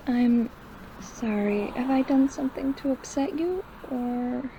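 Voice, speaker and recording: A man's voice: extremely high pitch, a little slow, dark tone, lo-fi sound, slight background noise, voice pushed up. A man voicing a timid young woman who stammers apologetically in an audio roleplay. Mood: nervous.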